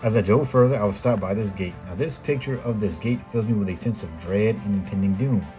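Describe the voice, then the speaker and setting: A middle-aged American man reading a scripted voice-over in a measured, plain explanatory way, as the host of a photography video. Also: lowish voice, warm tone. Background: background music.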